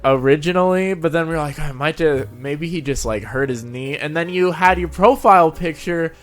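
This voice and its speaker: A man's voice: a little high, brisk. A man hosting an interview in a casual, conversational style. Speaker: show host, interviewer. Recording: music playing behind the voice. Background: music.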